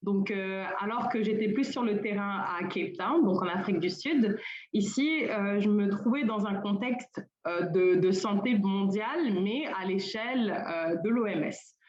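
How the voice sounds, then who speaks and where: A woman's voice: mid-range voice, unhurried, darkish tone. Young woman speaking hesitantly over a roomy call. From Senegal. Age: young adult. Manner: hesitant, with many fillers and restarts. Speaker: colloquium panelist. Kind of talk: informal conference talk. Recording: roomy, dull lo-fi call audio.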